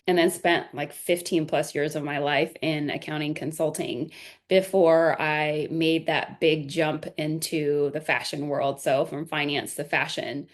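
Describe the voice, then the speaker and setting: A woman in her forties, a podcast guest, chatting casually and telling family stories with frequent "right?" tags. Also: low-pitched. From United States. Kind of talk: casual interview chat.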